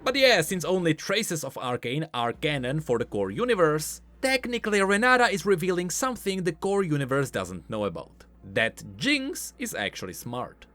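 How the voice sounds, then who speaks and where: Male game-lore commentator, higher-pitched and very lively intonation, scripted explainer delivery over music. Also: normal speed, few pauses.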